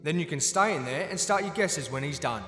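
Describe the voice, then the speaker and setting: A man's voice: fairly high pitch, lively intonation, brisk, a bit bright, reverberant, in a large hall, soft background music. An actor playing a smug, mocking gnome king villain in an audio drama, sly and flippant. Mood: smug, impatient. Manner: sly, mock-innocent, flippant.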